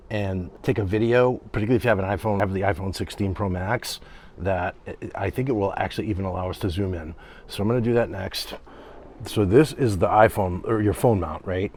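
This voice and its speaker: A middle-aged man casually reviewing a product at home and on his deck, rambling, often breaking off mid-sentence and getting excited about what he finds. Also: lowish voice, slight background noise. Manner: rambling, self-interrupting, easily excited. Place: home, later outdoors on a deck.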